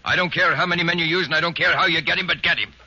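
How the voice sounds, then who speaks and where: An actor playing a stern, impatient police chief who gives blunt, clipped orders in a 1940s radio drama, on a dull, hissy old broadcast recording. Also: male voice, a little high, steady tone, rapid-fire, lightly acted.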